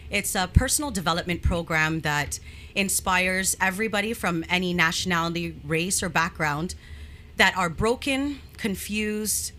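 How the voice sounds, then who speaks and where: A woman's voice: lowish voice, moderate pace, bright, forceful tone, a little hiss or hum. A woman in her thirties from Toronto, answering interview questions openly and earnestly, with frequent "um"s. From Toronto, Canada. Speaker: guest, actress and program executive.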